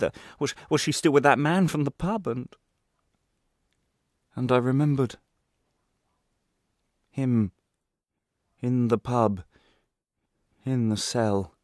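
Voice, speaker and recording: A man's voice: medium pitch, dry recording, studio quality, lightly acted. A man playing a guilt-ridden former prisoner telling his story in a radio drama, intense and brooding, in broken, repetitive phrases. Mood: anguished.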